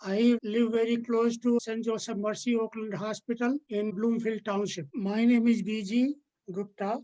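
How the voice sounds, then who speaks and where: Even-toned woman in her seventies. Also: on the high side, normal speed, rough recording. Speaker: interviewee.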